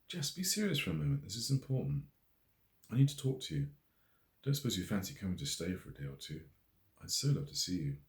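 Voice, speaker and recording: A man's voice: low voice, very animated, quick pace, roomy sound. A man voicing an earnest, mischievous woman farmer with a haughty, ranting manner in an audiobook story. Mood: earnest, urgent.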